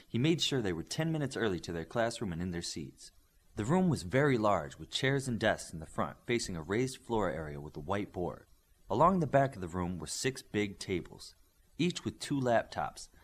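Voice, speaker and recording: Expressive man reading a story aloud. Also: fairly low pitch, normal speed, slightly rough. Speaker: audiobook narrator. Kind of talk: scripted fiction narration with voiced dialogue.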